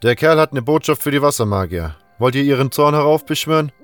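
A man voicing a calm, reserved armorer and hunter in a fantasy audio drama, unhurried and matter-of-fact, with music behind him. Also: mid-range voice, pitched above the performer's own voice, natural voice. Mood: firm. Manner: unhurried, matter-of-fact, talkative once engaged.

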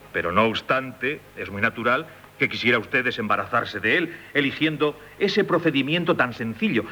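An actor with a Castilian Spanish accent playing an insistent, formally courteous examining magistrate in 1970s radio theatre. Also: male voice, mid-range voice, sharp tone. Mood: probing, courteous. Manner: formal, probing, insinuating.

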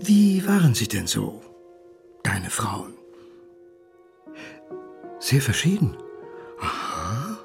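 An actor playing a lonely, bitter, self-mocking drinker, brooding and sardonic, in an intimate radio drama monologue. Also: male voice, mid-range voice, wildly swooping pitch, very slow, creaky, pauses often, soft background music, full character voice.

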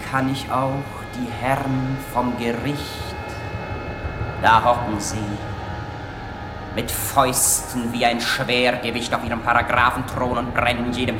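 A defiant, vengeful outlaw poet, acted by a man, declaims rhymed verse in theatrical style. The delivery is very slow and heavy with scorn. The voice rings in a roomy echo with music clearly behind it. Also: mid-range voice, flat delivery, natural voice. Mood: bitter contempt. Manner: declamatory, slow, from hushed to shouted. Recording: echoey recitation with music.

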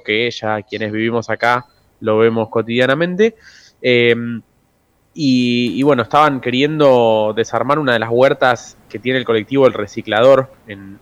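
A man's voice: mid-range voice, no room sound. A man with a Rioplatense Argentine accent hosting a radio show in a casual, conversational style. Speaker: radio host.

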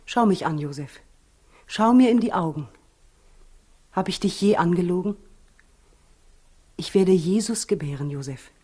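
An actress in a 1960s German radio play as an earnest expectant young wife, soft and breathy, pleading and insistent. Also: female voice, on the low side, expressive, unhurried, pauses often. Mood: pleading, intense.

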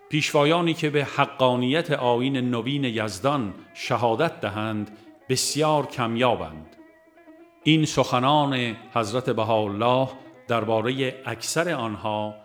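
A man narrates a religious audiobook in a formal, solemn register, with a mid-pitched voice. His phrasing is measured and deliberate. Soft plucked-string music plays faintly behind him.

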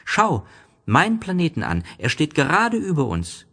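A man voicing a gentle, wistful little boy prince in a storybook, with earnest, questioning delivery. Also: a little high, huge pitch swings, normal speed, creaky, slightly rough, pitched above the performer's own voice, exaggerated voice. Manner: earnest, questioning, raised lilting voice. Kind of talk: storybook character voice.

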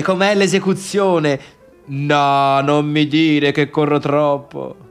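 An actor playing a bitter, needy, boastful failed pianist in a halting theatrical radio monologue that swings between murmurs and outbursts. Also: male voice, fairly high pitch. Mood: needling. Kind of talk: radio theatre monologue, addressing imagined listeners.

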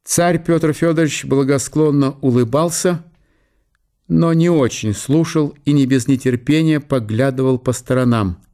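A man's voice: mid-range voice, moderate pace, mellow, close to an everyday voice. A man narrating a historical radio play as dramatic literary storytelling.

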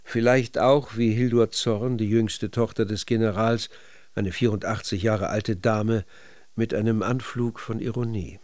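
Measured male narrator, dry studio sound. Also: lowish voice, fairly even intonation, a little mellow, no echo. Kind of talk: scripted literary documentary narration.

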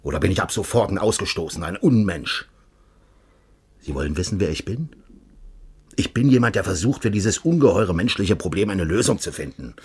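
Radio drama: shadowy ex-secret police official, very deep rough-husky voice, rhetorical, controlled, menacing. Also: male voice, animated, darkish tone, full character voice. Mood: persuasive, menacing. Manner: measured, formal, rhetorical. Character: secretive ex-Stasi official, composed, persuasive, quietly menacing. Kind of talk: radio crime drama acting.

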